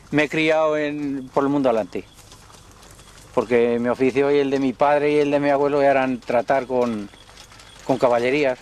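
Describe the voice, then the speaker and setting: A man's voice: a little high, darkish tone. Older man telling stories. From Spain. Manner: folksy, unhurried storytelling. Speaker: horse and mule trader. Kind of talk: documentary interview, reminiscing.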